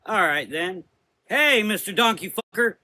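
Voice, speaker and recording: A man's voice: high voice, expressive, a little slow, sharp tone, slight background noise, pitched above the performer's own voice, exaggerated voice. A man voicing a feisty, sarcastic, outspoken woman traveler in a gothic audio drama. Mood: angry.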